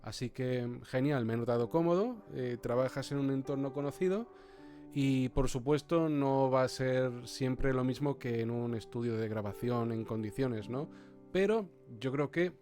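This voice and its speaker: A man's voice: medium pitch, normal speed, warm tone, soft background music. A man talking casually and a little hesitantly, often ending on tag questions. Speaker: amateur voice actor. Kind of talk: casual interview testimonial. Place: small home office.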